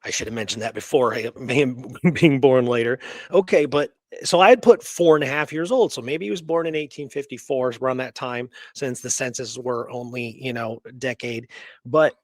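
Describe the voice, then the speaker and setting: A man's voice: medium pitch, moderate pace, no room sound, clean studio sound. A man co-hosting a casual history talk, going through research notes conversationally and often checking that his listener follows. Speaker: co-host presenting historical research.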